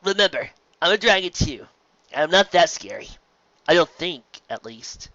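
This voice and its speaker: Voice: mid-range voice, sing-song, normal speed, sharp tone, no echo, highs cut off, voice pushed up. A woman voicing an earnest, impatient young male dragon in a cartoon fan story, urgent and a little anxious. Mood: encouraging. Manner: pleading, urgent.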